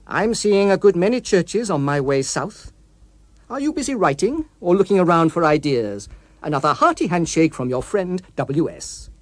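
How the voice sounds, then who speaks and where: A man reading an audiobook, voicing an anonymous male postcard writer who is mock-friendly, arch and insinuating, with quiet menace. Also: high-pitched, expressive, moderate pace, dry recording.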